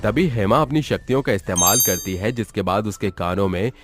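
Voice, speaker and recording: A male narrator tells a scripted horror story. His voice sits low, and music plays clearly behind him. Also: lively intonation, brisk.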